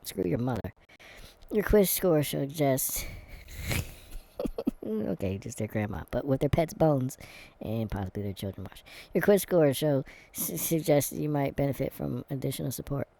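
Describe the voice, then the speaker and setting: Sarcastic man with a mellow voice. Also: mid-range voice, moderate pace, creaky. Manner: sarcastic, deadpan, with dark comic rants; reads quiz text aloud, stumbling and muttering. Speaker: vlogger. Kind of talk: casual commentary while taking an online quiz.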